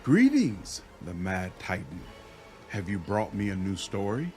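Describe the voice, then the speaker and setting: A man doing a measured old elf storyteller. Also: low-pitched, very animated, darkish tone, soft background music, pitched below the performer's own voice. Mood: serene. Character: old blind male elf storyteller, calm and unafraid. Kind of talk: fantasy game character voice.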